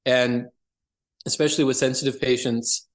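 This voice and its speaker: A man's voice: medium pitch, steady tone, normal speed. A man speaking as an interview guest, explaining things conversationally and earnestly as a practitioner.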